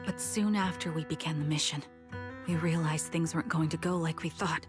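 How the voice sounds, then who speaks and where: A melancholy, stoic female soldier, played by a woman in a video game. She speaks in a slightly low, soft and breathy voice, sombre and hesitant, over music. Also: lightly acted. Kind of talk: video game voice acting, recounting solemnly.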